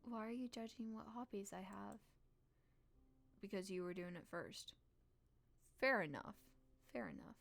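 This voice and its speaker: A woman live streamer reading a visual novel aloud in a playful, expressive way with casual reactions. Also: medium pitch, a little slow, vocal fry, long pauses.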